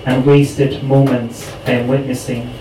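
Man from Ireland, flat monotone, slow poetry recitation, echoey room, noisy. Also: mid-range voice. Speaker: poet at open mic. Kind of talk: spoken word poetry reading. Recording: echoey room, distant mic, clear noise.

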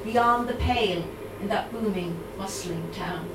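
A woman's voice: medium pitch, heavy background noise. Dublin-accented woman poet, distant and roomy. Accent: Dublin Irish. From Ireland. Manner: measured recitation, hesitant um-filled chat between poems. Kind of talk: live poetry reading with chatty asides. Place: live reading event in a room. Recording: roomy, distant mic, audible room ambience.

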